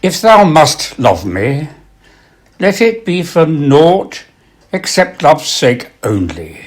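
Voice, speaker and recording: A man reading poetry aloud in an expressive recital style, with measured, deliberate phrasing and pauses. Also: a little high. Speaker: poetry reader.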